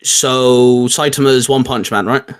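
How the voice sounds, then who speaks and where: A man's voice: mid-range voice, steady tone, a little slow, clear, resonant tone. Casual man hosting a chatty discussion. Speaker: host. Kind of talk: casual video chat and intro.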